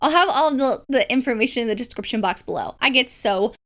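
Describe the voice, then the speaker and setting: A woman's voice: fairly high pitch, animated, fairly quick, a bit bright. A woman chatting casually about books in an informal, enthusiastic way, like a book vlogger. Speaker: book vlogger and readalong host.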